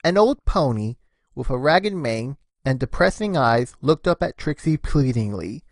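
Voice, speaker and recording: Very low-voiced woman narrator. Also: expressive, measured pace, darkish tone, no room sound, studio quality. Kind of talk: expressive scripted story narration.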